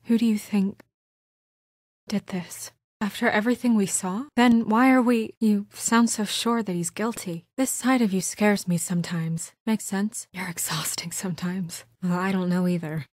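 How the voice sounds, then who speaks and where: A woman playing an earnest, guarded young office administrator in a video game, with measured, soft-spoken, often hesitant delivery. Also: mid-range voice, fairly even intonation, no room sound, clean studio sound, natural voice. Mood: frustrated, worried, then hushed, sad. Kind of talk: naturalistic video game voice acting.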